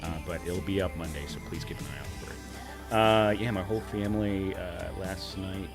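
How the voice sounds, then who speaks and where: List: man, livestream host, slightly hoarse, lowish voice, rambling casual chat, music behind. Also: steady tone, a little fast. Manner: rambling, thanks tippers, breaks into silly high voices.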